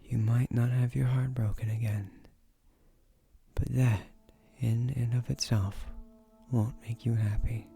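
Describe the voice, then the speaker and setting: A man voicing an ancient, gentle guardian of a magic tree in a romantic fantasy roleplay, speaking softly and breathily in formal, earnest, persuasive words with soft hesitations. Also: mid-range voice, steady tone, unhurried, vocal fry, soft background music. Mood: earnest, gently admonishing.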